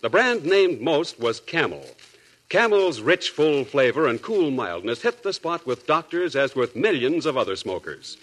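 A man reads a vintage radio commercial as its announcer. His voice sits a little high and moves in lively swings, persuasive and emphatic. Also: unhurried. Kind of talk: 1940s radio advertisement narration.

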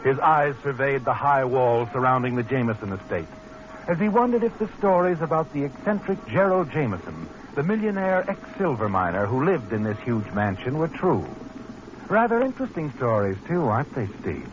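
An actor playing a knowing, sardonic narrator of a 1940s radio mystery, in a polished, insinuating, dramatic manner. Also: male voice, mid-range voice, big pitch swings, normal speed, slight background noise, lightly acted. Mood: suspenseful. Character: knowing, sardonic male narrator addressing the schemer he watches. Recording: muffled old radio, music behind.

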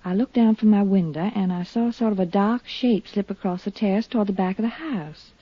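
A young American woman, played by an actress, earnest and shaken but brave, with a husky medium-pitched voice. She sounds like fifties radio drama, close and dry on a dull old recording. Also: brisk, dry recording, close to an everyday voice. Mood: tense. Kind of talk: 1950s radio drama acting.

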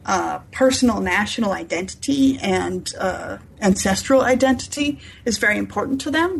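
A woman author being interviewed, explaining their fictional world in enthusiastic, detailed lists with a husky voice. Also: mid-range voice, low-quality recording. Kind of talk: unscripted explanatory interview talk.

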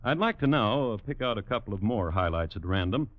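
Wry, low-voiced radio narrator, a man. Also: animated, fairly quick. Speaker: host and narrator. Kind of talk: 1950s radio scripted true-crime narration. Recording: muffled old radio recording. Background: music.

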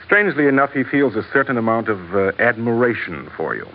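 Smug villain advisor, swooping intonation, mock polite n wordy, muffled old radio play. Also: male voice, mid-range voice, sing-song, fairly quick. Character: smug, smooth-talking villainous court advisor. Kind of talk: old radio drama acting. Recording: dull old radio recording.

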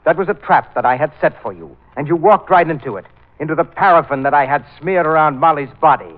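An actor playing a suave, sinister, cultured killer in an old-time radio drama, speaking with mannered, mocking politeness. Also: male voice, fairly high pitch, fast, natural voice. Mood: smug, persuasive. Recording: tinny band-limited old radio recording, music behind.

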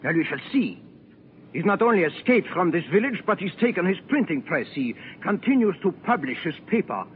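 Condescending Nazi occupier, menacing, vintage radio drama, tinny sound with faint noise. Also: male voice, sits high, sing-song, brisk. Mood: threatening. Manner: condescending, coolly threatening. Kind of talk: 1940s radio drama acting. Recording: tinny, noisy 1940s radio recording.

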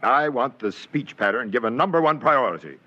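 An actor playing a driven, authoritarian alien commander in a clipped, theatrical 1950s radio drama style. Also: male voice, medium pitch, expressive, moderate pace, pushed and bright, lo-fi sound. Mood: urgent, barking orders. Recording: noisy old radio recording.

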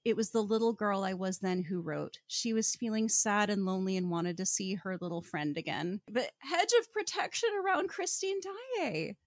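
A woman's voice: medium pitch, lively intonation, moderate pace, hardly any pauses, no room sound, clean studio sound. A woman co-hosting a podcast, giving casual comedic book commentary in a wry, emphatic, slangy manner. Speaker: podcast co-host.

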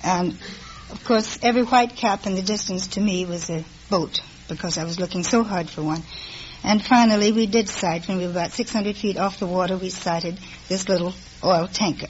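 A woman with a mid-pitched voice, speaking conversationally as a quiz contestant. The recording is an old radio one, dull and faintly noisy. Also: normal speed. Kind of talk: casual conversation with a host. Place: radio studio before an audience.

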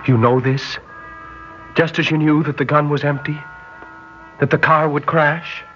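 A man's voice: a little high, level tone, measured pace, fairly bright tone. A man acting an earnest, sentimental young sailor in a classic radio drama. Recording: dull old radio recording with music.

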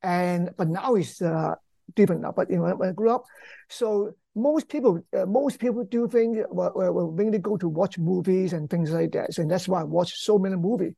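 An older man with a Hong Kong accent, a professor speaking conversationally in an interview, halting and repeating words. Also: sits high, creaky, mellow, no echo. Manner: halting, repeats words, non-native English phrasing. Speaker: history professor, author being interviewed.

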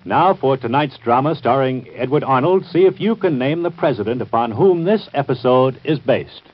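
An American man with a husky voice, reading a formal, measured introduction as an old-time radio announcer. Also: mid-range voice, expressive, slight background noise. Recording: muffled vintage radio broadcast.

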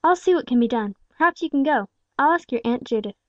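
A woman voicing a gentle, anxious and helpless aunt in a storybook, fretful and faltering. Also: high-pitched, animated, fast talker, no room sound, muffled recording, voice pushed up. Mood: helpless, hesitant. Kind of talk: storybook character dialogue.

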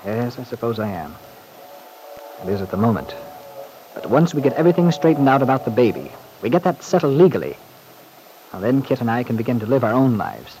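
An actor playing a wry, urbane, harried gentleman who speaks hesitantly in an old-time radio serial. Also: medium pitch, very animated, brisk, pauses often, natural voice. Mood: rueful, reflective. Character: wry, harried, well-off gentleman confiding in his manservant. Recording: faintly noisy old radio recording.

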